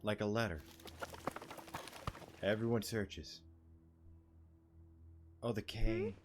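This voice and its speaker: A man's voice: lowish voice, slow pace, soft background music. A man doing casual, crude gameplay commentary with sarcastic asides. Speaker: gaming streamer.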